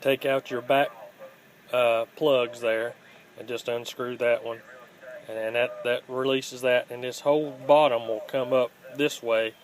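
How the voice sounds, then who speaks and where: A man giving a casual, unscripted hands-on how-to in a plainspoken, halting way, recorded on a handheld phone. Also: medium pitch, fairly even intonation. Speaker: host of a scrapping how-to channel. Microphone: handheld phone, filming one-handed. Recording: home phone video with faint background noise.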